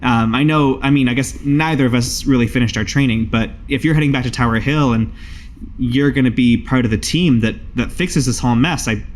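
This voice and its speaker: A man's voice: medium pitch, a little fast, lightly acted. Earnest, hesitant young spellcaster played by a man. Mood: earnest, awkward. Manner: hesitant, casual, rambling.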